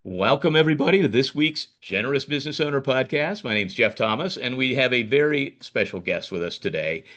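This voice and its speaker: A man's voice: mid-range voice, lively intonation, a little fast, a bit bright, lo-fi sound. A man hosting a podcast interview, chatting casually and rambling with many restarts. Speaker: podcast host.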